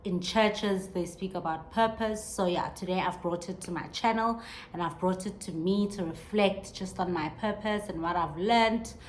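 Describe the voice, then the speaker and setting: A woman's voice: fairly low pitch, moderate pace, a little hiss or hum, poor audio. Earnest woman reflecting on a podcast. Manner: earnest, searching, many restarts and fillers. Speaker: podcast host. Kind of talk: reflective solo monologue.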